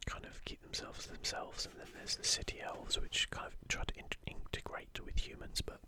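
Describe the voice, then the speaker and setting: Whispering man, ASMR host. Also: a little fast. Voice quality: breathy, unvoiced whisper. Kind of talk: whispered ASMR commentary on an art book.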